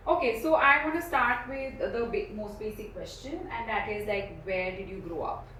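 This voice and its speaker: A woman's voice: mid-range voice, normal speed, sharp tone, a little hiss or hum. Delhi girl with an indian accent hosting a podcast, chatty, asks the questions, sounds a bit far from the mic in an echoey room. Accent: Indian English. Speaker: podcast co-host. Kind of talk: casual chat.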